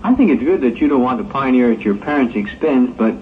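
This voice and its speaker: A man's voice: mid-range voice, lively intonation, fast talker, close to an everyday voice. Man playing earnest young volunteer, tinny tape. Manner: earnest, persuasive. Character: earnest, contented young male religious volunteer. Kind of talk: 1960s amateur audio drama acting. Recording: thin old tape with hiss.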